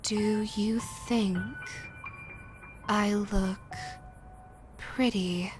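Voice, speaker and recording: A woman playing a female yokai ghost in an audio roleplay, theatrical and deliberate, menacing yet easily flustered. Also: medium pitch, steady tone, a little mellow, faint music behind. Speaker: voice actress. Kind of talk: audio roleplay, character speaking to listener.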